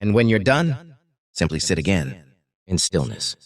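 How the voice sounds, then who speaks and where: Man, guided meditation narrator, soothing, deliberate, short paused phrases, highly varied intonation. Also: big pitch swings, no room sound, studio quality.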